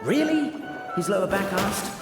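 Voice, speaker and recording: A man's voice: sits high, animated, normal speed. A man voicing a cheeky, theatrical minion in a video game, given to stammering and mock-storybook narration. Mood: mock-dramatic. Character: cornered, cheeky, theatrical male minion narrating his own escape. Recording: echoey hall reverb, music behind.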